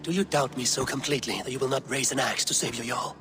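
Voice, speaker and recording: An actor playing a stern, resolute Viking raider captain in a video game, terse and commanding. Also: male voice, medium pitch, quick pace, bright, forceful tone, lightly acted. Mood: stern, urgent. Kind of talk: video game cutscene acting.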